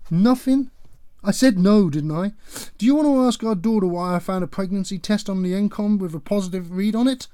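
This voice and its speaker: A man voicing a sharp-tongued, defiant mother of five who nags loudly. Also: a little high, sing-song, normal speed, pitched above the performer's own voice. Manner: nagging, loud and cutting.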